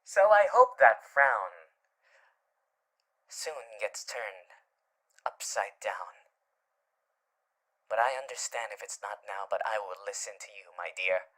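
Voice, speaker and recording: An actor playing a gentle, doting demon with showman's charm and courtly manners in a soft, soothing ASMR roleplay. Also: medium pitch, lively intonation, takes it slowly, pauses often, heavily acted. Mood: tender, soothing. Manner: soft, soothing, hesitant, often breaking into whispers. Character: gentle, doting male demon with theatrical showman's charm, comforting a loved one.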